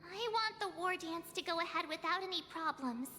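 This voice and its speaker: A woman's voice: very high voice, expressive, brisk, pushed and bright, a little hiss or hum, full character voice. A woman playing an earnest teenage girl adventurer in an anime game, with an open, girlish delivery. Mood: earnest, hopeful. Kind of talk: scripted anime game voice acting. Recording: long reverb, game music behind.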